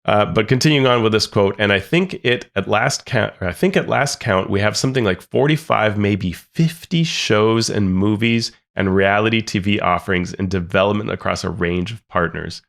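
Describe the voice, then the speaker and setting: A man's voice: on the low side, moderate pace, gravelly, few pauses, a bit bright. A man co-hosting a podcast, reading out quotes and commenting on them in a casual, restart-filled way. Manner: reads quotes aloud then comments, many restarts. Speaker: podcast co-host.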